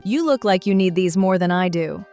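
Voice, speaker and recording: A woman voicing a level-headed female adventurer, an archer in a fantasy story, even and dryly wry. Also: on the low side, moderate pace. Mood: reassuring. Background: background music.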